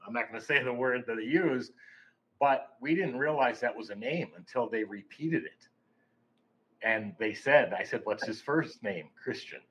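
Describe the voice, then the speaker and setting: A man's voice: mid-range voice, animated, normal speed, fairly bright tone, slightly rough, rough recording. A man, a paranormal investigator, recounting events in a loose, rambling way during casual podcast chat.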